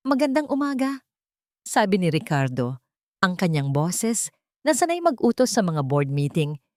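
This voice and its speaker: A woman's voice: sits low, dark tone, dry recording, studio quality. A woman with a Filipino accent reading a scripted, dramatic story as a narrator.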